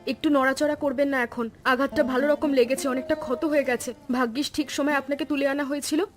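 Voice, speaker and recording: A woman voicing a practical, caring village wife in a Bengali cartoon story, brisk and affectionately scolding. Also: sits high, steady tone, music in the background, lightly acted. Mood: nursing, reassuring.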